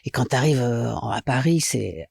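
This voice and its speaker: A middle-aged woman from Belgium, an actress and director, chatting lively in an interview with many fillers and restarts. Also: extremely low pitch, unhurried, gravelly, breathy edge, dry recording, clean studio sound. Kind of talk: casual interview chat.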